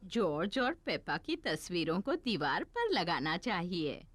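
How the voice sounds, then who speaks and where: A woman's voice: on the low side, measured pace, music in the background. A woman voicing a kind, encouraging playgroup teacher, a lady animal in a children's cartoon. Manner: encouraging, expressive. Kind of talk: cartoon dubbing.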